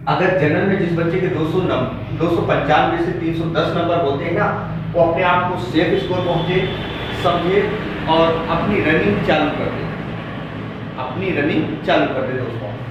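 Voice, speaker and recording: A man lecturing like a coaching teacher, explaining figures emphatically and repeating key points to students. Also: a little high. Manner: emphatic, repetitive, directly addressing students. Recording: very echoey room, distant muffled mic, noisy.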